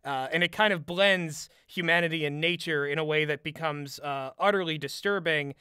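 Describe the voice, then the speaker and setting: A man's voice: on the high side, moderate pace, pushed and bright, smooth, no room sound, clean studio sound. A man co-hosting a conversational analytical discussion, thoughtful and articulate in how he builds his ideas. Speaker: podcast co-host and commentator.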